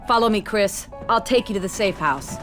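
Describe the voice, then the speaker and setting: An actress playing a determined, commanding resistance leader, giving purposeful briefings in a video game cutscene. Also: female voice, medium pitch, lively intonation, moderate pace, fairly bright tone, soft background music, close to an everyday voice. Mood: resolute. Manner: purposeful, instructing.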